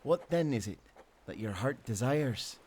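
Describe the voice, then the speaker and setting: A man voicing a gentle, patient female angel in a fairytale, solemn. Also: medium pitch, lively intonation, a little slow, slight background noise, close to an everyday voice. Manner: solemn, storybook dialogue.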